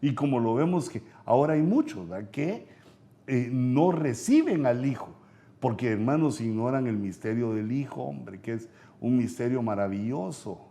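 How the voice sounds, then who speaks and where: A man preaching a sermon, going up in pitch when he quotes scripture, with frequent "eh" fillers. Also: lively intonation, moderate pace, gravelly, warm tone. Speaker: pastor. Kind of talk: sermon Bible teaching.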